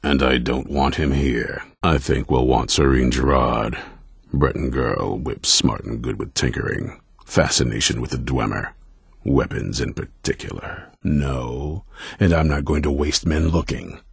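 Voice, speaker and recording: An actor playing a stern, suspicious vampire-hunter commander in a fantasy video game, blunt and commanding, with a gruff gravelly voice. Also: male voice, unhurried, no room sound, clean studio sound, exaggerated voice. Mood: stern, curt. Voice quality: very deep, gravelly, raspy. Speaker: leader of a vampire-hunting order.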